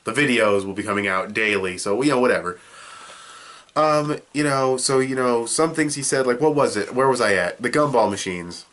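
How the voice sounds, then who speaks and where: A man's voice: mid-range voice, normal speed, fairly bright tone. Rambling young man with a cold. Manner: rambling, loose and offhand, with many fillers and restarts. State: sick with a cold, sniffling. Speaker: video reviewer. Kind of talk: casual video review.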